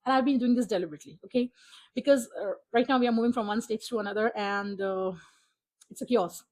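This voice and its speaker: Animated Pakistani woman vlogging. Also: on the high side, fast talker, rough recording. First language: Urdu. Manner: exasperated, animated, laughs often. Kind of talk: casual vlog chat to camera. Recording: music playing faintly behind.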